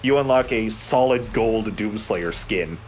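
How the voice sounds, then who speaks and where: A man's voice: lowish voice, unhurried, slight background noise. A man giving wry, rambling, sweary commentary as he plays a video game. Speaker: let's play host and player. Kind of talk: casual gameplay commentary.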